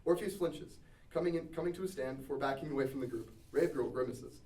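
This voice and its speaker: A man's voice: medium pitch, fast talker, a little mellow. A man reading scripted stage directions as narrator at a table read. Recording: echoey room, distant mic.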